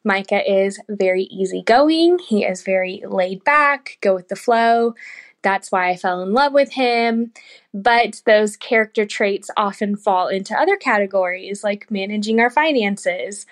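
A woman's voice: medium pitch, measured pace. A woman from Oklahoma leaving an upbeat, earnest voice message for a podcast. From Oklahoma, USA. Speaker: caller. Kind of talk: recorded voice message.

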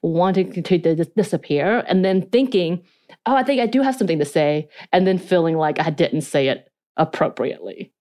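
Woman, podcast co-host, lowish voice, light Korean-Southern accent, candid and self-analytical. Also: expressive, normal speed, warm tone. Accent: Korean and North Georgia mountain. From United States, North Georgia. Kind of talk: casual podcast chat.

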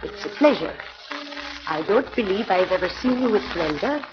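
A woman's voice: mid-range voice, lively intonation, normal speed, over music, close to an everyday voice. An actress playing a poised, scheming aristocratic woman who speaks formally, in a 1940s radio drama with a lo-fi sound. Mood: poised, probing. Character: smooth, scheming aristocratic female crook.

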